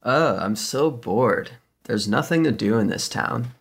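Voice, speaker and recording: Casting: woman as sarcastic, bored teen sister, gravelly fry, deadpan teasing, comedic script read. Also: extremely low pitch, measured pace, exaggerated voice. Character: bored, sarcastic sixteen-year-old sister.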